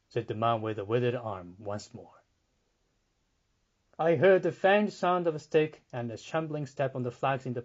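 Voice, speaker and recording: Very slow, measured male story narrator. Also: medium pitch, darkish tone, dull audio. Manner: measured, deliberate. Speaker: audiobook reader. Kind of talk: dramatic literary narration.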